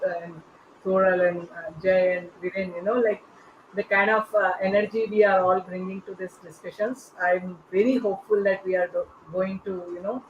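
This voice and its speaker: Female podcast host, lo-fi sound with faint noise, casual, halting delivery. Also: lowish voice. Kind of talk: casual, unscripted discussion. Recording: muffled, clearly noisy connection.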